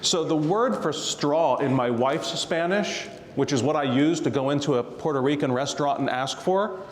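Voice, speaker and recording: A man's voice: fairly high pitch, normal speed. A man, a tax attorney, telling stories and explaining tax rules to a live audience, laughing often. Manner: jokey storyteller, laughs often. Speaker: real estate tax attorney guest. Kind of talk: casual talk to a live audience. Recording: roomy hall reverb.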